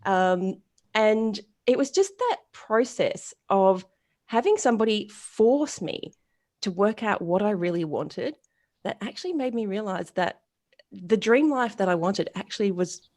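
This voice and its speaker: A woman's voice: mid-range voice, measured pace. A woman in her forties giving an interview as a retail strategist, telling stories bluntly and casually and acting out the people she quotes. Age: 40s. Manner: lively, animated storytelling with quoted dialogue. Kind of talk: storytelling interview answer.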